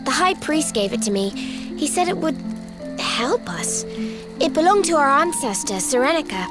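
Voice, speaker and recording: A woman voicing a blunt, confident young girl mage in a video game, with a brisk manner. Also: high-pitched, sing-song, music in the background. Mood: matter-of-fact. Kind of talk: scripted video game voice acting.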